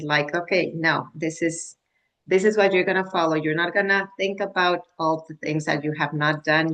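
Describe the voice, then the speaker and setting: Slow, low-voiced woman coach in her 50s. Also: a bit bright, lo-fi sound. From Mexico. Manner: halting, full of restarts and "like" fillers. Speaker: menopause wellness coach. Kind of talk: casual video-call conversation. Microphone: video call.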